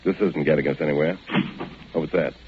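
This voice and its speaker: An actor playing a curt, suspicious husband in a 1940s radio drama, clipped and sardonic in the hard-boiled manner. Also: male voice, rumbling low, steady tone, fast, a little hiss or hum. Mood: wary, sardonic. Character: curt, suspicious husband hunting his missing wife. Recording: muffled vintage radio recording.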